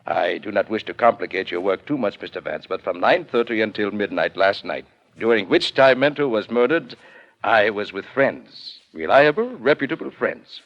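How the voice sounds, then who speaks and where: A man's voice: fairly low pitch, lively intonation, muffled recording. An actor playing a proud, sly craftsman of magic props and murder suspect in 1940s radio style, speaking formally and theatrically. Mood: defiant, formal. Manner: formal, theatrical, deliberate speech.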